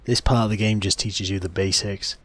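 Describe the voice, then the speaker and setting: A man's voice: on the low side, fairly even intonation, brisk, a bit bright. A man giving plain-spoken, explanatory commentary on a video game as the channel's host.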